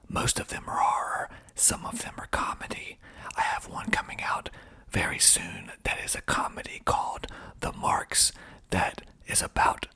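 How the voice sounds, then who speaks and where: A man from the southern United States whispering in a breathy, deliberate, conversational way as an ASMR channel host. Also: low-pitched, sharp tone. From southern United States (Georgia). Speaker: filmmaker hosting his own channel.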